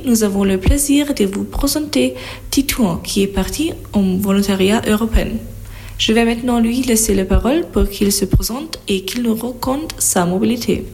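A woman from Austria with a mid-pitched voice and a lot of vocal fry. She hosts a radio interview and reads her lines from a script. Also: darkish tone. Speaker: radio host, volunteer. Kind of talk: scripted introduction and interview questions.

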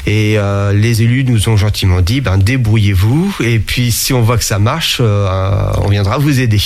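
A man with a fairly low voice talks in a relaxed interview. He stops often with fillers and restarts, and he explains things at length. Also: normal speed, slight background noise. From France. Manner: hesitant, many fillers and restarts. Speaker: interviewee, sommelier and museum founder. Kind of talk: casual interview talk.

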